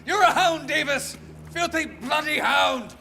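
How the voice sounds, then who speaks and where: Guy playing furious castaway, very high creaky yelling, stage radio play. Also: animated, a little slow, a little hiss or hum, exaggerated voice. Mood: desperate fury. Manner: shouting, furious. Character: enraged castaway cursing his betrayer. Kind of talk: theatrical live audio drama.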